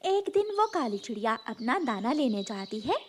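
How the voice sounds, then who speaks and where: High-pitched woman narrating a children's story. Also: very animated, normal speed, darkish tone, a little hiss or hum.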